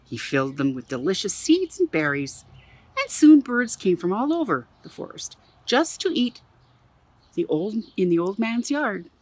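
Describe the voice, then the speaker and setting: A woman from Canada reading a children's picture book aloud in her backyard for a museum, playful and expressive, with exclaimed asides. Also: medium pitch, moderate pace, dry recording. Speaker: museum storyteller. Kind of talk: children's storybook read-aloud. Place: own backyard.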